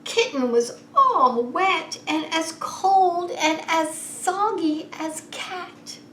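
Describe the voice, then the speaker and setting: A woman reading a picture book aloud to young children as a preschool story time host, expressive and unhurried, drawing the listeners in. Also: very high voice. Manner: animated, unhurried, asks the child listeners questions. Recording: roomy echo with faint background noise.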